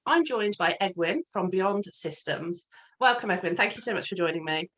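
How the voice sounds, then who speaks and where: A woman hosting an interview podcast who speaks encouragingly, with gentle fillers and restarts. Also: mid-range voice, animated, moderate pace. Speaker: podcast host.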